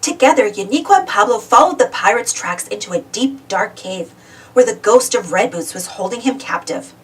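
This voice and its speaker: A woman narrating a children's storybook aloud in an expressive read-aloud style. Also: mid-range voice, moderate pace, recorded from a distance.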